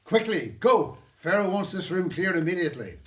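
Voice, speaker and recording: An actor playing a deferential servant at a Pharaoh's court in a radio drama. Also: male voice, sits high, lively intonation, normal speed. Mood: urgent.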